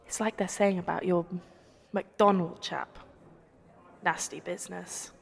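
An actress playing an excitable, opinionated village gossip in a radio play, gushing about local politics. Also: female voice, fairly low pitch, measured pace, vocal fry, long reverb tail. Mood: gushing, knowing.

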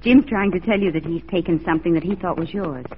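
A tender young frontier sweetheart, played by a woman in an old radio drama. She speaks gently and with sentiment, and the vintage recording sounds dull and muffled. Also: fairly low pitch, fast, a little hiss or hum, natural voice. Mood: earnest, fond. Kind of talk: 1940s radio drama acting.

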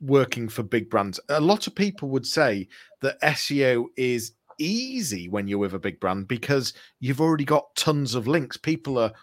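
A man speaks as the host of a marketing podcast. His voice sits at a medium pitch as he presents the show and puts questions to his guest. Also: sing-song, moderate pace. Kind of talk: scripted intro and live interview hosting.

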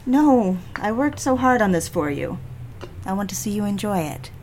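A woman's voice: medium pitch, sing-song, normal speed, a little mellow, a little hiss or hum. A woman playing a devoted, dreamy young woman in love in an intimate first-person audio drama. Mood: tender, eager.

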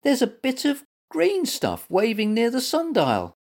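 A woman voicing a small, friendly young male animal with a squeaky, eager voice in a children's story. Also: wildly swooping pitch, measured pace, darkish tone, full character voice. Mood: excited.

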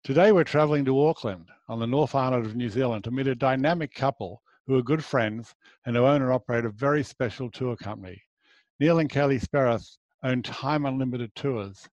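A man's voice: mid-range voice, brisk, darkish tone, dry recording, lo-fi sound. Brief: Australian male travel host, upbeat and affirming, dry close-mic sound. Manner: enthusiastic, affirming. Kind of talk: scripted intro then interview chat.

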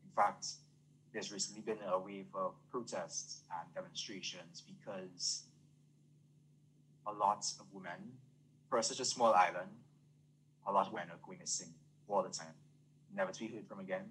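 Deep-voiced Trinidadian man, earnest. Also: steady tone, normal speed, pauses often, a bit bright, slight background noise, rough recording. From Trinidad and Tobago. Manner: earnest, fluent, uses many fillers. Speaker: guest. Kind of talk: casual interview talk.

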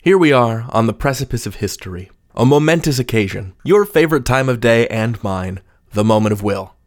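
A man's voice: mid-range voice, expressive, fairly quick. A man hosting a podcast, with a playful, mock-grandiose way of talking. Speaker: podcast host. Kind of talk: interview chat and scripted outro narration.

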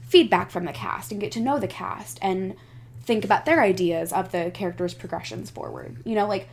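A woman in casual chat, excitable and halting as she thinks aloud. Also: medium pitch, gravelly, slightly rough. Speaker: podcast creator pitching an idea to friends.

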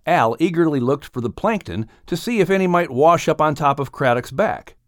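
Animated male storybook narrator. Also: on the high side, moderate pace, no echo, studio quality. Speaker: audiobook narrator. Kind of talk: scripted children's story narration.

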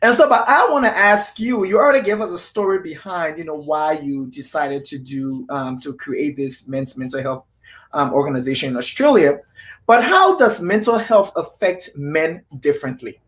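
A man's voice: on the high side, lively intonation, moderate pace, a bit bright. A man hosts a conversational interview. He speaks casually, often circling back and rewording his thoughts. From Liberia, West Africa. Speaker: interviewer, host.

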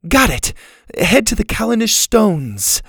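A man voicing an earnest, anxious young girl in a storybook, urgent and hurried. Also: high voice, fairly even intonation, vocal fry, dry recording, clean studio sound, pitched above the performer's own voice, full character voice. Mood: excited. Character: earnest, frantic young girl reading from a guidebook.

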